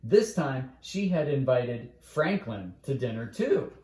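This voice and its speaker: A man's voice: medium pitch, sing-song, slow pace, darkish tone. A man reading a bedtime story aloud as a storyteller, in a roomy, echoing recording.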